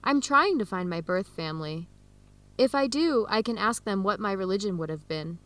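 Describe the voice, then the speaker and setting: A woman's voice: mid-range voice, animated, moderate pace, a bit bright, natural voice. A woman acting an earnest young adoptee in a radio drama, speaking openly with an American accent. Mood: wistful.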